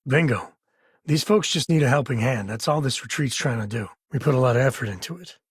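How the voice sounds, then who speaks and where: Easygoing space cowboy game companion, super husky voice, folksy dry humor, real dry studio sound. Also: male voice, medium pitch, a little fast, no echo. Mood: upbeat, wry. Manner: folksy, relaxed phrasing with dry humour. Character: easygoing male space cowboy, devoted father and loyal companion. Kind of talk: video game voice acting. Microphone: close dry studio mic.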